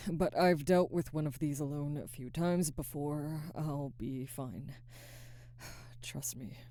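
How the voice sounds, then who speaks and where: A woman playing a conflicted, protective alpha werewolf in an intimate ASMR roleplay, husky and halting, often slipping into a whisper. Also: deep voice, fairly even intonation, warm tone, no room sound. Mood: awkward, reluctant. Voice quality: husky, breathy, frequently dropping to a whisper. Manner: halting, hesitant, sighing. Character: adult female alpha werewolf, conflicted, protective, barely restrained.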